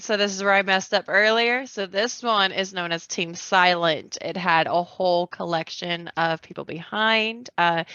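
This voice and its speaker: A woman's voice: fairly low pitch, pushed and bright, clear, resonant tone, no room sound. A woman chatting casually and informally as a guest on a podcast, with slightly dull audio.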